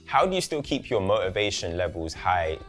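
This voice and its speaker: A man's voice: low voice, big pitch swings, fairly quick, soft background music. A man asking questions as an interviewer in a casual, informal way, often dropping in "like".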